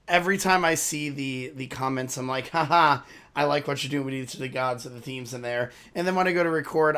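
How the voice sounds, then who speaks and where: A man with a hoarse, worn voice chats loosely to his viewers at a middle pitch. He rambles, says sorry and loses his thread now and then, in the easy tone of a reaction channel host. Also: a bit bright. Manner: rambling, apologetic, loses his train of thought. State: hoarse from a lost voice. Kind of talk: casual chat to viewers.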